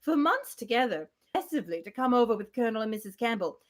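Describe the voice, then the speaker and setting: Gushing spinster gossip, acted by a female reader, high-pitched and breathless, rambling good-naturedly through a classic novel scene. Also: big pitch swings, quick pace. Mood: fond, chattering. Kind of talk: classic novel read aloud in character.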